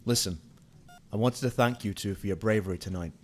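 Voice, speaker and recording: A man's voice: lowish voice, a little fast, a little hiss or hum, lightly acted. A man playing a hard, vengeful police detective in an amateur radio play. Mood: subdued.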